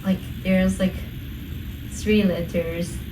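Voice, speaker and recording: A woman's voice: lowish voice, steady tone, slow. A woman in her twenties with a Japanese accent, answering interview questions hesitantly with many fillers. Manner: hesitant, lots of fillers, searching for words. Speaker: guest. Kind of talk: casual interview. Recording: echoey room from a distance, noisy.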